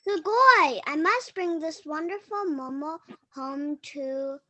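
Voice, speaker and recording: A female amateur playing an eager old peasant wife from a folktale, full of wonder. The voice is very high and bright, delivered slowly and carefully, with small stumbles as in a read-aloud play. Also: animated, no echo, full character voice. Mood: amazed, eager. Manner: halting, careful reading with stumbles over Japanese words. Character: poor old woodcutter's wife, amazed and eager. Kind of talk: amateur dramatic reading of a folktale.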